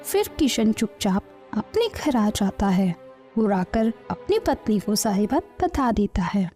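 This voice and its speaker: A woman's voice: mid-range voice, sing-song, measured pace, mellow. A woman storyteller reading scripted narration for a children's story. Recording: music under the voice.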